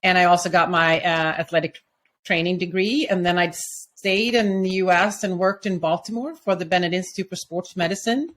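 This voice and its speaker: A middle-aged woman from Sweden, a physical therapy professor, answering interview questions in a measured, explanatory way. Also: on the low side.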